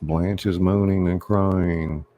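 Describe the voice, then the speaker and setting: A man reading screenplay stage directions aloud as a deliberate scripted narrator. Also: sits low, steady tone, lo-fi sound, soft background music.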